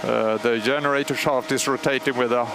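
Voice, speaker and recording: Brief: Swedish male technician, mid pitch, measured commentary with lots of "uh", machinery noise clearly behind. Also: fairly even intonation. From Sweden. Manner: measured, explanatory, frequent pauses and fillers. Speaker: superintendent of a historic radio transmitter start-up. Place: large machine hall at a radio station. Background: humming machinery and alarm bells.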